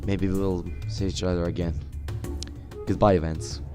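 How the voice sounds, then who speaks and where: Low-voiced, halting male gamer. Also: little pitch movement. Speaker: gamer role-playing a game character. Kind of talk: improvised in-character game dialogue. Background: game music.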